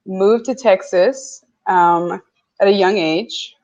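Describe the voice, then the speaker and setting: A woman's voice: medium pitch, takes it slowly, highs cut off. Young woman breaking down football. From Canada. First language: French. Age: young adult. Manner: analytical, lively football talk. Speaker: guest sports analyst. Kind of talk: casual interview.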